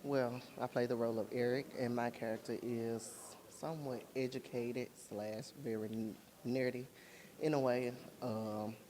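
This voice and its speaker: A man with a dark, mellow voice at a middling pitch answers interview questions as an actor. His delivery is plain, measured and sincere. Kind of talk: interview talk.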